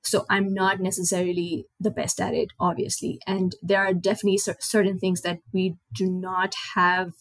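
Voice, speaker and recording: A woman's voice: lowish voice, little pitch movement, moderate pace, few pauses, no room sound. Chatty young woman with Nepali accent. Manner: rambling, self-correcting, chatty. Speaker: podcast host. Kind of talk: casual solo podcast talk.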